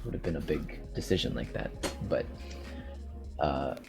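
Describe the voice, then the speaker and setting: A man's voice: a bass voice, normal speed, vocal fry, over music, low-quality recording. A man with a Canadian accent hosting a podcast, chatting casually and rambling with frequent restarts. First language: English. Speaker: podcast host. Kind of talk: casual chat.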